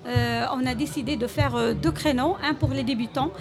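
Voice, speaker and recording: A woman's voice: high-pitched, a little fast, music in the background. A woman from Morocco whose first language is Arabic, a language teacher answering interview questions with frequent hesitations.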